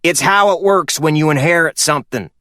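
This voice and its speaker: A man's voice: a little high, a little fast, pushed and bright, no room sound, pitched above the performer's own voice. A man voicing a shrewd, bossy older uncle from who orders people around. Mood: commanding. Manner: commanding, scolding.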